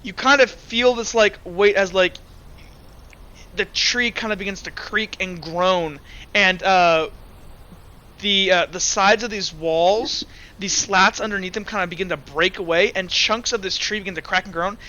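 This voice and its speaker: A man's voice: high-pitched, measured pace, pushed and bright. A man improvising narration and rulings as the game master of a tabletop roleplaying game.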